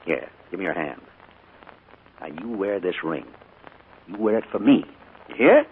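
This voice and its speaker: An actor playing a charming, secretive middle-aged uncle in a 1940s radio play, genial and hearty, sometimes hushed. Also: male voice, low-pitched, big pitch swings, a little slow, breathy edge, phone-quality sound, full character voice. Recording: scratchy 1940s radio broadcast.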